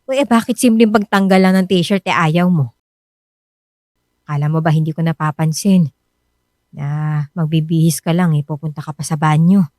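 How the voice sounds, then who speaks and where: A Filipino actress playing a worried, suspicious wife who nags and scolds in a Tagalog radio drama. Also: female voice, low voice, moderate pace, long pauses, dark tone, dry recording, clean studio sound, natural voice. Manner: insistent, scolding.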